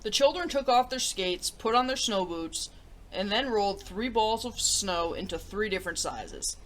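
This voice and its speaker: A woman hosting a children's story show, reading aloud in an animated, expressive way. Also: medium pitch, moderate pace, poor audio.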